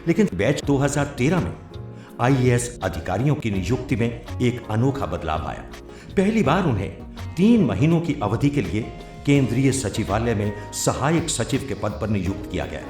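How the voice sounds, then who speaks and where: A male narrator delivers formal documentary script with an animated, varied melody. His voice sits in an echoey space with music underneath. The delivery is that of an official training film. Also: mid-range voice, normal speed. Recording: roomy echo, music behind. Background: background music.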